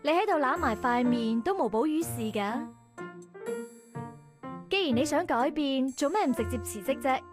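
A woman's voice: sits high, expressive, moderate pace, long pauses. A woman voicing a cocky, teasing young lady thief in a visual novel, playful and theatrical, with a Hong Kong Cantonese accent. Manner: playful, theatrical, mock-villainous. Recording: game voice track over music.

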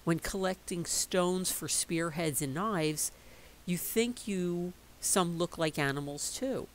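A middle-aged woman reading a picture book aloud in a slow, expressive storytelling voice. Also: lowish voice, a little hiss or hum, poor audio.

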